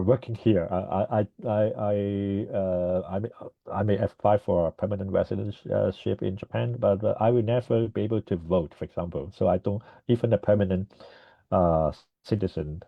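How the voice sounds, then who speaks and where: Low creaky-voiced guy on a dull video call, lots of uhs, answering a question slowly. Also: no echo. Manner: hesitant, many fillers. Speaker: conference presenter. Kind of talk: academic Q&A answer.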